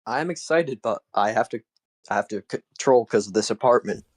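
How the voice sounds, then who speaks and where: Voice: medium pitch, expressive, moderate pace, dry recording. Casual co-commentator, short interjections, dry sound. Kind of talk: casual live commentary of a card game. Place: apartment.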